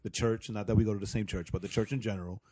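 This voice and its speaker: A man from New York co-hosting a casual podcast, relaxed and quick to laugh, talking close to the mic in an indoor room. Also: lowish voice, no room sound. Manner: laid-back, laughs often, repeats words. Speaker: podcast co-host. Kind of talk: casual chat. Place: indoor room, shared studio mics.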